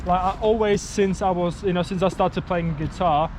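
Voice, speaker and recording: A man's voice: high voice, steady tone, moderate pace. A young man in his twenties with a Czech accent, chatting casually as a local guide with lots of fillers. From Czech Republic. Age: 20s. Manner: rambling, many fillers. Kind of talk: casual chat. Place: town streets outdoors. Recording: outdoor street noise.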